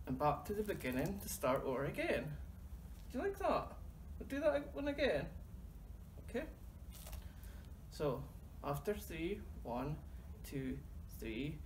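A man with a Doric Scots accent leading young children through a finger-counting rhyme in a library, gently chatting to a soft toy between verses. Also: fairly high pitch, slight background noise. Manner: gently coaxing, talks to a toy. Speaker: library storytime leader. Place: library reading corner.

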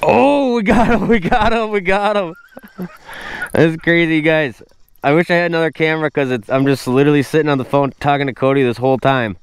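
A man hosting a casual fishing vlog outdoors on a frozen lake, recorded on a wearable camera, exclaiming excitedly at each catch. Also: fairly high pitch, brisk, smooth, no echo. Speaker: host. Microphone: wearable action camera.

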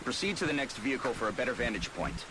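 An actor playing a calm male military commander giving orders over the radio in a game, in a clipped, steady manner. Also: medium pitch, quick pace, noisy background, natural voice. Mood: commanding. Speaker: mission commander.

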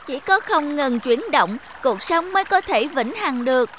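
A woman voicing a gentle, caring elder-sister lake spirit in a children's cartoon fable, soothing and reasoning. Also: sits high, lively intonation, measured pace, slight background noise. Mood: reassuring.

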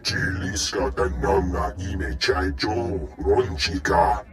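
A very low, gruff male alien talks slowly and weightily, as scripted game dialogue with some music underneath. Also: a bit bright. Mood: imperious. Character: gruff alien male speaking an invented tongue. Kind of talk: scripted video game acting.

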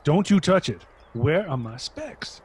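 A man playing a stubborn, gruff older husband who blusters and dismisses, in a radio drama. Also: on the high side, big pitch swings, measured pace, full character voice. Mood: irritable.

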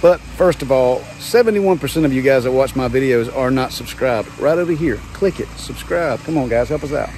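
A laid-back man in his thirties chats to his phone at arm's length, with a medium-pitched voice. He is under a covered patio outdoors, and a gas pump engine drones clearly behind him. His talk is loose and rambling, full of fillers. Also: a little fast, few pauses, darkish tone. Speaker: pressure washing business owner, vlogger. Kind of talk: casual vlog talk to camera. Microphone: phone held at arm's length. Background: pump engine and vehicle noise.

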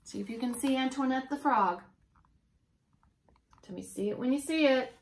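A woman's voice: sits high, moderate pace. A woman around her forties, a preschool teacher reading a picture book aloud expressively and explaining things to young children. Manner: expressive, stopping to explain words. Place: small indoor room with bookshelf and whiteboard.

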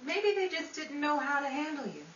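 A woman's voice: sits high, lively intonation, a little fast. A woman playing a dreamy, tender, melodramatic film-struck 1950s lady in a radio drama. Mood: wistful, dramatic. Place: small indoor room staged as radio studio. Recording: muffled echoey noisy room, distant mic.

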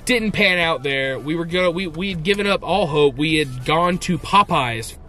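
A man in his thirties with a somewhat high voice talks straight to a handheld camera, first from a parked car and then a hotel room. He tells his story in an excited, rambling way. Faint music sits underneath. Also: normal speed. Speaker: vlogger. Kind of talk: casual vlog. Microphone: handheld camera at arm's length.

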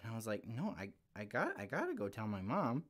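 A man's voice: fairly low pitch, wildly swooping pitch, a little fast. A man voicing a friendly young puppet kid, speaking casually and earnestly as he gives a devotional for children. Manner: chatty, earnest, informal with frequent "like".

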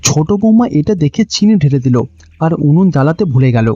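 A man's voice: a little high, lively intonation, quick pace, warm tone, no echo. A man with a Bengali accent reading scripted narration for a cartoon story. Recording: dull, lo-fi audio with music behind.

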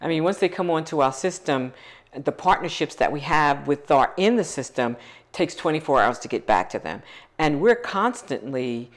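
A woman's voice: sits low, expressive, moderate pace. A middle-aged woman, a foundation CEO, speaking passionately and emphatically in an interview on a couch with a lapel mic. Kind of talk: interview conversation. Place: living room set on a couch.

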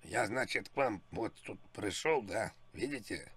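A man's voice: lowish voice, expressive, unhurried, fairly bright tone, husky. A man making an improvised prank phone call, rambling and repeating himself with feigned naive helplessness. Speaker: prank caller.

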